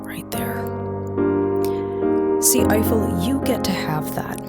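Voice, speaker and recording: A woman playing a firm, earnest female spaceship officer in an audio drama, lecturing deliberately and with hurt. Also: mid-range voice, expressive. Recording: piano music under the voice.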